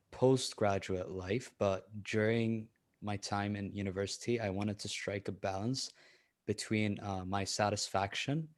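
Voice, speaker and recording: Young male panelist, lowish voice, measured and slightly formal. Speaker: student panelist. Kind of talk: casual panel discussion.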